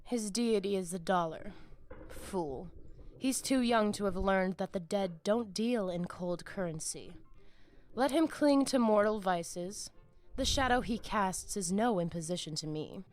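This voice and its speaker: A woman's voice: mid-range voice, animated, unhurried, a little mellow, soft background music, close to an everyday voice. A woman playing a proud, fanatical vampire cultist in a video game, speaking in solemn, cryptic, grandiose phrases. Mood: scornful. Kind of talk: video game character dialogue.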